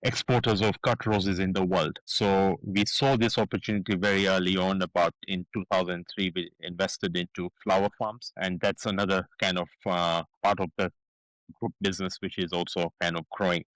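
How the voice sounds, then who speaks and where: Low-voiced Kenyan man, halting. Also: level tone, fairly bright tone, no room sound, rough recording. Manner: hesitant, many fillers and restarts. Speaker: guest, business founder. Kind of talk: casual interview talk.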